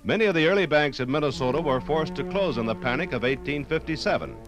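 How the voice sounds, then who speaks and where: A man narrating a scripted 1950s radio documentary in a measured, solemn storytelling manner. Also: a little high, animated, low-quality recording. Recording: 1950s vinyl radio recording, music underneath.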